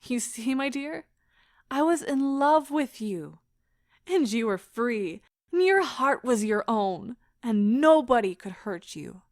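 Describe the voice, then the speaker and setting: A woman playing an anguished, pleading lover in a stage-style audio drama, with a theatrical, impassioned and deliberate delivery. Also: fairly high pitch, animated, no echo, studio quality. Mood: bitter, aggrieved. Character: anguished, pleading woman leaving a broken love affair.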